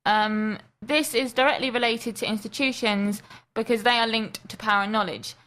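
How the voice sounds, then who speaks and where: A woman's voice: a little high, fairly even intonation, normal speed, fairly bright tone, low-quality recording. A young woman, a student presenter, carefully explaining ideas from a script on a student radio show, with frequent ums. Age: young adult.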